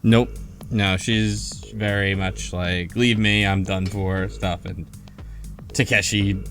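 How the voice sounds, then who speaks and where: A man's voice: sits low, flat delivery, slow pace, fairly bright tone, soft background music. A man hosting a casual group discussion, talking in a rambling, hesitant way with lots of fillers. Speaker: podcast host.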